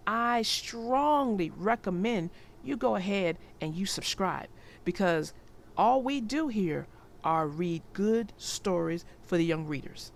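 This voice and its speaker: A woman reading a children's storybook aloud in an animated storytelling manner. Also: mid-range voice. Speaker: storybook narrator.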